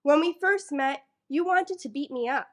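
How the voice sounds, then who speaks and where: Woman poet from New York, higher voice, nervous between poems, reads at a library mic. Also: lively intonation, normal speed. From New York, United States. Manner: a little nervous, many fillers between poems. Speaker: featured poet. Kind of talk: reading her own poetry live. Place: public library event room. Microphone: microphone in front of a live audience.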